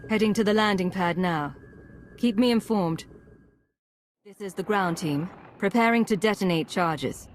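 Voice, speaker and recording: An actress playing a composed, resolute secret agent commander in a video game, speaking in a cool, commanding manner. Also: female voice, mid-range voice, unhurried, a little hiss or hum, natural voice.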